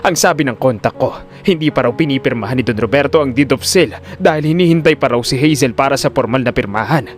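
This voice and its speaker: A man's voice: medium pitch, quick pace, lightly acted. A man voicing a frightened, scheming son in an audio drama, anxious and urgent. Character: frightened, scheming son reporting to his mother. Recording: music under the voice.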